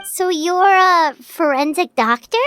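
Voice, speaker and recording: A woman voicing a tiny, chirpy, curious floating girl companion in an anime-style game, with a squeaky childlike voice and an exclaiming, inquisitive manner. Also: slow pace, a bit bright, exaggerated voice. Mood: curious, eager. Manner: exclaiming, inquisitive, refers to herself in third person. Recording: studio voice over game music.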